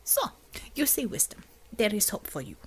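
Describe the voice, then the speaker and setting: A woman's voice: mid-range voice, very animated, moderate pace, breathy edge. A woman voicing a domineering female commissar with a Russian accent and a clipped, contemptuous manner. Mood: cool authority.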